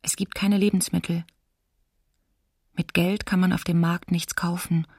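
A subdued young female student reads her diary in a radio documentary drama. Her lowish voice is flat and husky. The delivery is plain and the sound dry. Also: a little slow, no room sound. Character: young female student diarist enduring wartime hunger.

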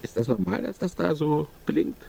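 An actor playing a laid-back, nostalgic older drinker with a gravelly, mellow voice, rambling matter-of-factly in an audio drama. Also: male voice, fairly high pitch, noisy background. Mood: nostalgic, resigned. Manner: unhurried, rambling, matter-of-fact. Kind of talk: naturalistic audio drama dialogue.

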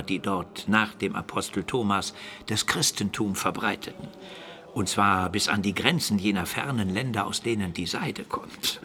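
Gravelly, frail old bishop. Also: male voice, fairly low pitch, pushed and bright, exaggerated voice. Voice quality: gravelly, breathy, often dropping to a whisper. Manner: measured, didactic, coughing. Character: elderly sickly medieval bishop, learned, wry, fatherly. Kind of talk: radio play acting.

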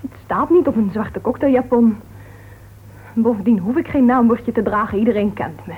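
A self-assured society woman in an old radio drama. Her voice is on the high side, arch and drily mocking. The sound is thin and phone-like. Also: moderate pace, slightly rough. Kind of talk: Dutch radio play acting. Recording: tinny old radio recording.

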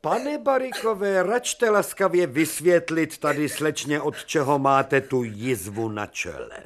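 A man's voice: a little high, expressive, lightly acted. A man playing an eminent professor of medicine in a radio play, ornately polite, paternal and easily exasperated. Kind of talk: Czech radio play acting.

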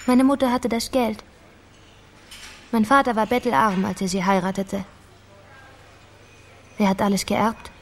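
An actress playing a determined, guarded politician's daughter in a radio crime drama, direct and reserved. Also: female voice, medium pitch, fairly even intonation, measured pace, pauses often.

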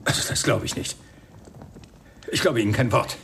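A man's voice: lowish voice, lively intonation, moderate pace, creaky, a bit bright, a little hiss or hum. A man playing a defiant, embittered husband and father in a German radio crime drama, curt and indignant in manner. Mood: disbelieving, angry. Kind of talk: radio play acting.

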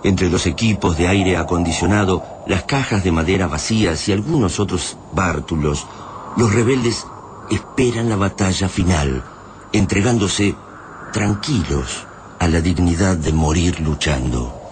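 Male narrator for radio drama, Argentine accent, deep register, dramatic read over noticeable noise. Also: measured pace. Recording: clearly noisy radio drama track.

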